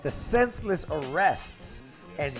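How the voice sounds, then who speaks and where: A man's voice: a little high, slow, soft background music. A man hosting a casual podcast, talking in a loose, profane way and reading numbers out to his cohosts. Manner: animated, profane, reads stats to cohosts. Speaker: podcast host. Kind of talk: casual chat.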